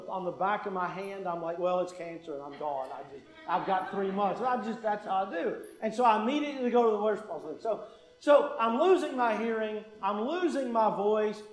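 A man with a high voice preaches earnestly, repeating his key phrases for weight and darting into quick asides. The sound is roomy, with a clear echo around him, as in a church hall. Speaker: pastor. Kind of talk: sermon.

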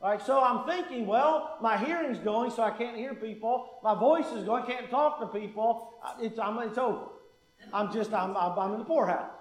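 A man preaching a sermon in earnest, quick with asides and repeating key phrases. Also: very high-pitched, echoey room, rough recording. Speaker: pastor.

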